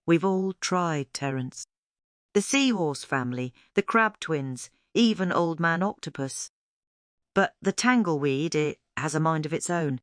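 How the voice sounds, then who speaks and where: A woman's voice: low-pitched, animated, a little slow, dry recording, studio quality. A woman voicing a friendly, chirpy female starfish who has long been stuck, in a children's storybook. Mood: resigned.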